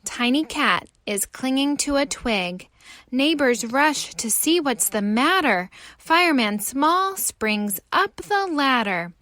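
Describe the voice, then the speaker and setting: A woman reads a picture book to young children in a high voice, unhurried and theatrical. Her pitch swings widely as she tells the story, in the expressive style of a storytime host. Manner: animated, theatrical delivery.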